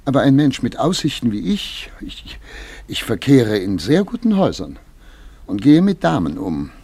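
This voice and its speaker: An actor playing a nervous, self-important major in a German radio play, halting and politely indignant. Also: male voice, medium pitch, sing-song, mellow, slightly rough, no room sound. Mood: flustered, pompous. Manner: hesitant, halting, stammering politeness.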